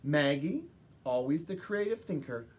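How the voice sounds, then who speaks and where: A woman narrates a storybook for young children. Her pitch swings widely and her tone has a husky, breathy edge. She leans on key words and leaves dramatic pauses. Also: lively intonation, a little mellow. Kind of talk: expressive picture-book read-aloud.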